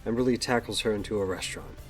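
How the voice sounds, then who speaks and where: A man's voice: on the low side, expressive, fast talker, soft background music. A man narrating a scripted audio drama, describing the action as the story's narrator.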